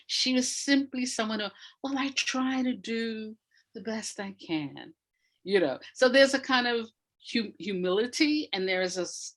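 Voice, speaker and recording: A woman's voice: medium pitch, a bit bright. Emphatic woman explaining in an interview. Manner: emphatic, repeats phrases for effect, laughs often. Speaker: interview guest, audio drama maker. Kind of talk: animated explanatory interview talk.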